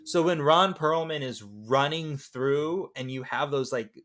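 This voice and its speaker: A man's voice: fairly high pitch, unhurried, fairly bright tone. A man hosting a casual film discussion podcast, talking in a conversational way. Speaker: podcast host.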